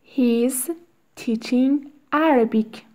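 A woman reading short English phrases aloud one at a time, with long pauses for learners to repeat. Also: fairly high pitch, steady tone, mellow. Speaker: English teacher. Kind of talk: slow read-aloud drill for learners.

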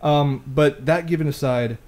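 A man's voice: on the high side, a little slow, over a noisy crowd. A man with an American accent talking casually as a podcast co-host, recorded on a microphone in a small home room. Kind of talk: casual chat.

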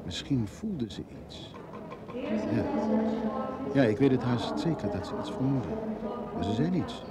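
An actor playing a hesitant, guilt-ridden husband in an old Dutch radio play. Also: male voice, mid-range voice, big pitch swings, a little slow. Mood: regretful. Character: conflicted, guilt-ridden husband leaving his wife. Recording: old radio recording, crowd behind.

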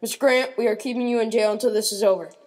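A woman as a high-voiced commanding judge. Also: fairly even intonation, fast, a little mellow, natural voice. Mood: stern. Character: stern, commanding courtroom judge. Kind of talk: amateur radio mystery acting.

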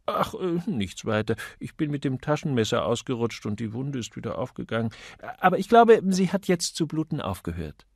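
A man voicing a gallant, courteous gentleman in an audiobook, casually inquisitive in manner. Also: mid-range voice, sing-song, moderate pace, gravelly, hardly any pauses, dry recording, clean studio sound. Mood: offhand.

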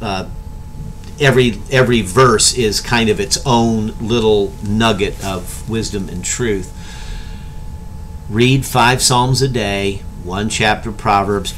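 A man's voice: mid-range voice, a little hiss or hum. A middle-aged man teaching a church class in a casual, conversational way, checking in with "okay?" and gesturing as he talks. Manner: conversational, animated hand gestures, keeps asking "okay?". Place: home study with bookshelves and whiteboard.

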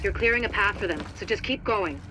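An actress playing a brisk, determined female agent who gives direct mission orders in a video game. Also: mid-range voice, lively intonation, background noise, close to an everyday voice. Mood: businesslike. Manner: businesslike, direct. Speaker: mission coordinator. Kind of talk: video game radio briefing.

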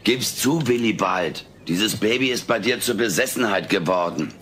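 An actor playing a wisecracking, self-centred furry alien in a sitcom audio play, sardonic and cheeky. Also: male voice, on the low side, normal speed, fairly bright tone, natural voice. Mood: sarcastic. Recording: noisy old cassette audio.

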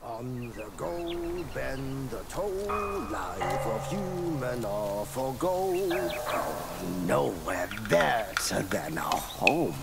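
An actor voicing a sly, gleeful creature in a fantasy video game, gravelly and theatrical, with a singsong delivery. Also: male voice, mid-range voice, big pitch swings, very slow, long pauses, exaggerated voice. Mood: carefree, singsong. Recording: loud music over the voice.

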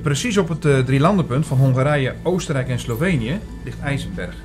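Audio drama lead: male actor as earnest investigator-narrator, mid-range voice, measured storytelling over music. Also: medium pitch, lively intonation, lightly acted. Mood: earnest, explanatory.

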